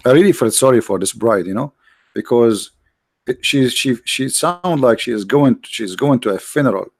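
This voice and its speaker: A man's voice: medium pitch, animated, moderate pace, darkish tone, dry recording. A man hosting a livestream, talking casually and sarcastically, with many restarts and fillers. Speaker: livestream host.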